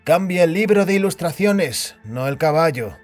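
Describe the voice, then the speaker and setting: A man playing an uneasy, deferential subordinate in an audio drama, earnest and respectful even as he objects. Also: on the high side, moderate pace, natural voice. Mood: reluctant. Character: Svets, uneasy, deferential male subordinate recovering in hospital. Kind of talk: scripted Spanish audio drama acting. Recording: music bed behind the voice.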